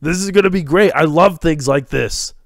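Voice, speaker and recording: A man voicing an eager, friendly middle-aged cartoon pal with a gravelly voice. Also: fairly high pitch, normal speed, dry recording, studio quality, pitched above the performer's own voice. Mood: eager, happy.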